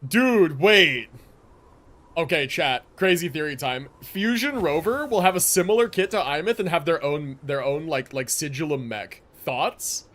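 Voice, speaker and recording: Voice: medium pitch, animated, unhurried, a bit bright. A young adult livestreamer giving casual, slangy, exclamatory commentary while reacting to gameplay. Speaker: video game livestreamer. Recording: game music behind the voice. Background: video game music and sounds.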